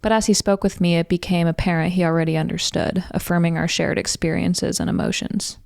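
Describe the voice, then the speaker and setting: A woman's voice: on the low side, fairly even intonation, brisk, vocal fry, a little mellow, no room sound, studio quality. A woman reading a scripted first-person personal essay as its writer.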